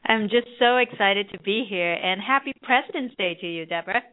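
A woman in her thirties from Hong Kong, a marketing director speaking as a guest by phone, relaxed and upbeat with frequent ums. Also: mid-range voice, fairly quick. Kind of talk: phone interview. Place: at home or office on the US West Coast. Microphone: phone handset. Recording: phone line, narrow band.

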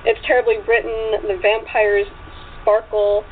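A woman's voice: mid-range voice, little pitch movement, a little slow. A woman speaking as an author guest in a casual interview, with an enthusiastic manner. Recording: tinny phone line with background noise.

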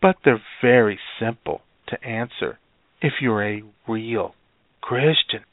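Man doing a smug radio preacher, condescending, slow delivery, dry tinny sound. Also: mid-range voice, no room sound. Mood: preachy. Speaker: comic radio preacher bit.

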